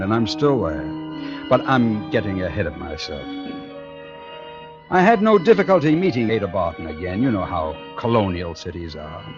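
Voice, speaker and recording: An actor playing a brooding, fatalistic male painter who narrates his dark tale with moody, deliberate phrasing in old radio suspense style. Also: mid-range voice, lightly acted. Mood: ominous. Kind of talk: 1940s-50s radio suspense acting, first-person narration. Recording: dull old radio recording, music behind.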